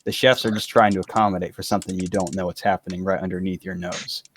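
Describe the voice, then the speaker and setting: A man's voice: low voice, flat delivery, quick pace, a little hiss or hum. A man reading an explainer script aloud to friends in an online voice chat, tripping over words and breaking off to joke.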